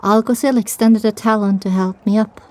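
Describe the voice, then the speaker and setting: A woman playing a determined young female pony who tells her story with grief, in an emotional, halting, drawn-out delivery for an audio drama. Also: medium pitch, steady tone, darkish tone, lightly acted. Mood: somber, determined. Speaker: narrator. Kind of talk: first-person dramatic audiobook narration.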